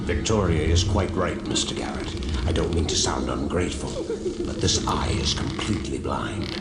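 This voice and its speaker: Sinister posh-mannered collector villain in a game, very low voice, unctuous and formal, echoey. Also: male voice. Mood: sly mockery. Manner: formal, unctuous, measured phrasing. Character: suave, courtly, sinister wealthy collector villain. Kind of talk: video game cutscene acting. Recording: echoey, loud music behind.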